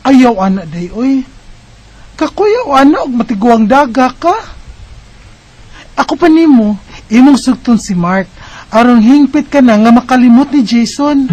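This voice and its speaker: An actress playing a meddling family confidante in a radio drama, with a Filipino Bisaya accent. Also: female voice, fairly high pitch, expressive, a little slow, long pauses, a little mellow, poor audio. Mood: coaxing.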